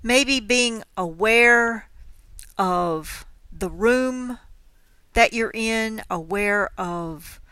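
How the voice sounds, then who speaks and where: Slow, bright-voiced woman guiding meditation. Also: on the high side, smooth, no echo. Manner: gentle, unhurried, measured phrasing. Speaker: meditation guide. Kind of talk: guided mindfulness body scan instruction.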